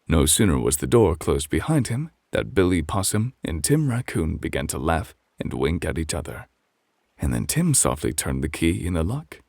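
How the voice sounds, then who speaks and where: A man playing a calm, refined gentleman who reads a bedtime story as gentle, measured ASMR close to the microphone. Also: rumbling low, creaky, no room sound, full character voice. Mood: soothing.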